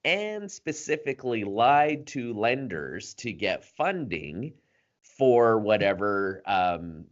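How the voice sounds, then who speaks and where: A man with a fairly low voice walks through a news story at length, in a casual podcast chat. He fills pauses with ums and uhs. The sound is dull and lo-fi. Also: very animated. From Canada. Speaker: podcast co-host.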